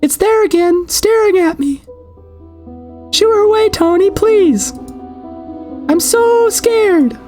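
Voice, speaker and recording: A man's voice: very high-pitched, lively intonation, slow pace, long pauses, a little mellow, soft background music, pitched above the performer's own voice, full character voice. A man voicing a little girl, a timid younger sister in a horror game. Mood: scared, pleading.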